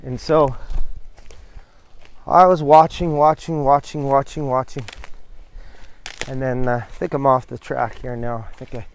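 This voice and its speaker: A man's voice: mid-range voice, fairly even intonation, measured pace, pauses often, clean tone. A middle-aged man chatting casually while walking through windy woods, rambling and interrupting himself as he talks into a handheld camera. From New Brunswick, Canada. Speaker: vlogger. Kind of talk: casual hiking vlog chat. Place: outdoors in windy coastal woods.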